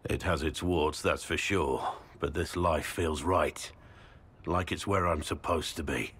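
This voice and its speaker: An actor playing a friendly, teasing warrior knight in a video game. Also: male voice, extremely low pitch, fairly even intonation, measured pace, fairly bright tone, slightly rough, full character voice. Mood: easy. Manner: friendly, joking storyteller. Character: gruff, warm male warrior knight.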